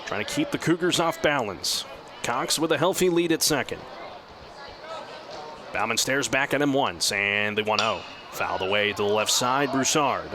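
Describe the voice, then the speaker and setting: A man doing live radio baseball play-by-play in measured, descriptive, clipped phrases. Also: mid-range voice. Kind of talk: live radio sports commentary. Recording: clear steady outdoor ballpark noise.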